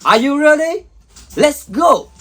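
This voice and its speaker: A man giving an excited, upbeat greeting as the channel's intro. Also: extremely high pitch, slow pace.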